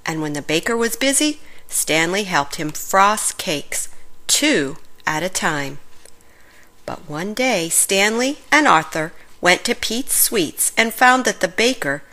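A woman reading a children's story aloud in an expressive, animated way, with deliberate phrasing for young listeners. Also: mid-range voice. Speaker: storybook reader.

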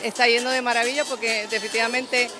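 A woman's voice: fairly high pitch, flat delivery, quick pace. A woman from Puerto Rico with a Puerto Rican accent, a new artisan speaking heartfelt into a handheld mic at an event. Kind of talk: event interview. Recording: clear music behind.

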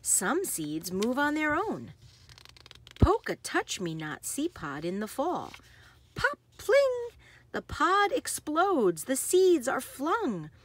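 Animated, slow-paced woman reading a picture book. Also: high voice. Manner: animated, emphasizing action words. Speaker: read-aloud host. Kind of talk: expressive read-aloud of a children's picture book.

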